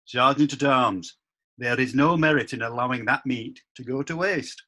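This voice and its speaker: A man playing a medieval court judge who delivers formal, measured rulings. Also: mid-range voice, pushed and bright.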